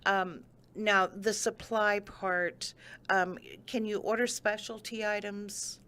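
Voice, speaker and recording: A woman's voice: mid-range voice, fairly even intonation, fairly bright tone, smooth. A woman hosting a local radio interview, friendly and affirming as she asks her guests questions. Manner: friendly, affirming, quick to laugh. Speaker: radio host.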